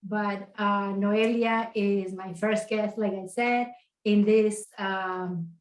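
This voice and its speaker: A woman's voice: medium pitch, steady tone, takes it slowly, roomy sound, rough recording. A middle-aged woman hosting a casual live-stream interview, affirming and encouraging her guest as she talks. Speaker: host. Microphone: video call.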